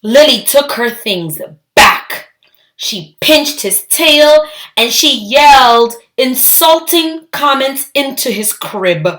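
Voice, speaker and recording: A woman reading a children's story aloud, expressive and emphatic, with deliberate phrasing. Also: sits high.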